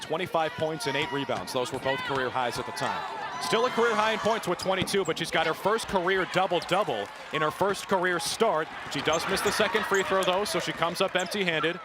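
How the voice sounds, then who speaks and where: A young American man in his twenties doing live sports play-by-play and show openings in a polished, energetic announcer delivery. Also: fairly high pitch. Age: 20s. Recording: noisy sports venue ambience.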